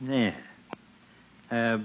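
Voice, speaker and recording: A man's voice: medium pitch, extremely slow. A man playing a folksy, wisecracking, easily hurt barber in a 1940s radio comedy. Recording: muffled 1940s radio recording.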